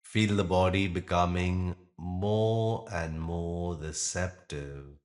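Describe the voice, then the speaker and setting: Male meditation guide: deep register, very unhurried, gentle and soothing, measured pauses. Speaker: yoga nidra meditation guide.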